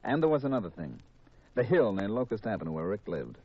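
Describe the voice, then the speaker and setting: Hard-boiled American murderer, menacing and courteous, deep voice, muffled vintage radio sound. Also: male voice, very animated, slightly rough, no room sound. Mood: bitter confession. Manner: deliberate, hard-boiled, mock-polite. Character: cold, confessing killer, male insurance man, coolly menacing. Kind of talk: 1950s radio mystery acting. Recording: muffled, dull 1950s radio recording.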